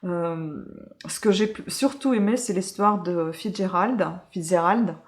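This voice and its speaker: Woman from ukraine, lowish voice, lots of euh and restarts, chatty book review. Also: unhurried. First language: Russian. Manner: hesitant, many fillers and self-corrections. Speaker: book reviewer, channel host. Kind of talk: casual book review chat.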